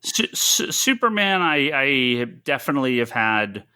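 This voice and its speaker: A man chatting casually as a podcast co-host, thinking aloud in a halting, rambling way. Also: medium pitch, sing-song, sharp tone, smooth. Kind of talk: casual chat about comics and films.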